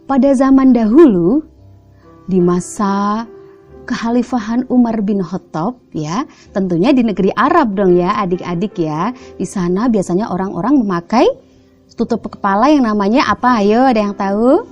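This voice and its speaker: A woman's voice: mid-range voice, moderate pace, darkish tone, highs cut off, faint music behind. A woman with an Indonesian accent telling stories to children in a playful, coaxing way, often prompting them with questions. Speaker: children's storyteller. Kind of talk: animated storytelling addressed to children.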